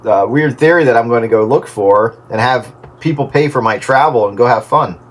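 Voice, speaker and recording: A man's voice: mid-range voice, brisk, very noisy. A man talking in a loose, conversational way in a casual radio round-table.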